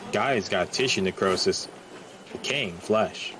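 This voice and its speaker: A man's voice: lowish voice, slow pace, noisy background. An actor playing a matter-of-fact male paramedic delivering a patient, in an audio drama. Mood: blunt.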